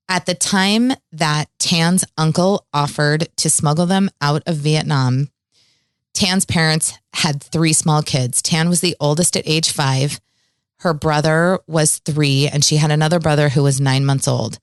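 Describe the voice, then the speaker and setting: A woman's voice: sits low, clear, resonant tone, no echo, clean studio sound. A woman from Eastern Washington in her forties, hosting a podcast with an earnest, heartfelt manner. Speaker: podcast host. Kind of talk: podcast intro and casual interview.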